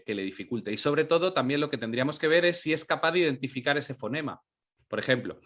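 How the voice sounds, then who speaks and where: Guy teaching, explains slow n careful, keeps asking "vale?". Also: mid-range voice, a bit bright. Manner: explanatory, frequent restarts and checks like "¿vale?". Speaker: guest teacher. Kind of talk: answering audience questions.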